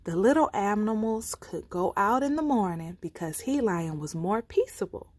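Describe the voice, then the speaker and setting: A woman reading folktales aloud for children as a storyteller, with deliberate, theatrical phrasing. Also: medium pitch, expressive, darkish tone, dry recording. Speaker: storytime reader.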